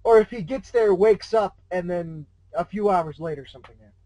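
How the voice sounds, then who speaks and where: A man's voice: sits high, a little fast, warm tone, dry recording, rough recording. A man chatting casually and jokingly as co-host of a fan fiction reading show.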